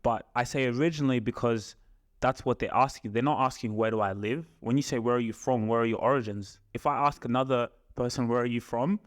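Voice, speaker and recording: A young man talks in an average-pitched voice, captured without room echo. His delivery is casual and conversational. He questions as he goes, reasoning aloud through examples. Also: a little fast, clean studio sound. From Australia. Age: young adult. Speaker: podcast co-host. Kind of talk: casual conversational podcast chat.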